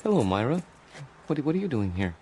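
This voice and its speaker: An actor playing a timid, sheltered, lonely man in his thirties in a radio drama, with a creaky voice and a hesitant, meek, halting delivery. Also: medium pitch, big pitch swings, mellow, a little hiss or hum, lightly acted. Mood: meek, uneasy. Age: 30s.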